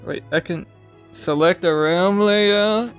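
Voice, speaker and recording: A young man chats casually through a video game. His voice is a bit high with a lot of fry, and his delivery is slangy and offhand. Faint music sits behind him. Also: takes it slowly, a bit bright. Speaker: gamer playing on camera or stream. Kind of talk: casual gameplay commentary.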